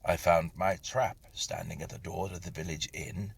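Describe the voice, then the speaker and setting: Husky, low-voiced male ghost-story narrator. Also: fairly even intonation, moderate pace. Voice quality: husky, breathy. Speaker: narrator reading a short story aloud.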